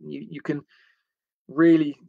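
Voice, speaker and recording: English male coach, gravelly edge, chatty and hesitant, live to webcam in a dry small room. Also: fairly high pitch, little pitch movement, no room sound, narrow, tinny audio. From England. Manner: friendly, chatty, halting with frequent pauses. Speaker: football coach giving a livestream talk. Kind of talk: informal live talk to camera. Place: indoors, small attic room.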